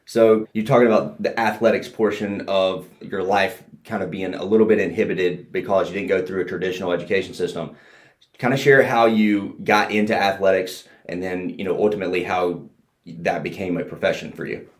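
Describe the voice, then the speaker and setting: Low-voiced man hosting a chatty podcast. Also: fairly even intonation, fairly quick. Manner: chatty, friendly questioning. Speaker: podcast host. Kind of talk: casual podcast interview. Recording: roomy echo.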